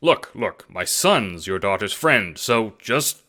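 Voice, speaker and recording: A man's voice: fairly low pitch, lively intonation, takes it slowly, sharp tone, heavily acted. A man voicing a dapper, wisecracking father on the lam with snappy old-timey slang in an audio drama. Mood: flustered.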